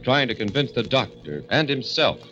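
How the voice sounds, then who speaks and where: A male announcer with an ominous, measured delivery introducing scenes of an old radio drama. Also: medium pitch, big pitch swings, over loud music. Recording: muffled old radio broadcast.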